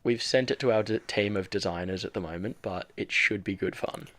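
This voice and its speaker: A man's voice: on the low side, fairly quick, a bit bright. A young man with an Australian accent, co-hosting a podcast in cheeky, hyped casual chat with some swearing. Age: young adult. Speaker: podcast co-host.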